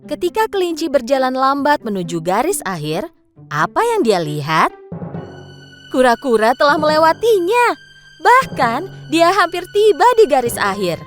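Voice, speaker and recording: A woman narrating a children's cartoon story in an expressive, sing-song storytelling manner. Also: high voice, moderate pace. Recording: faint music behind. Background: faint background music.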